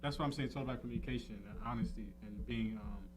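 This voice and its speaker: A man's voice: mid-range voice, very fast, noisy background. A man in casual, candid conversation, speaking in a slangy way and often dropping in "you know.". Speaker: podcaster in a talk show conversation.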